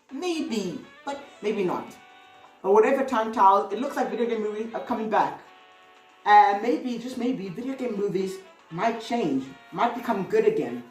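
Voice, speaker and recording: A woman hosting a video with casual, conversational commentary, rambling a little and adding hedging asides. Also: mid-range voice. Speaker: video host. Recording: roomy, echoey, distant mic with music.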